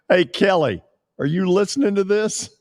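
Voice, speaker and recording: A man's voice: sits high, slightly rough. A man hosting a radio show, reacting casually and briefly during an interview.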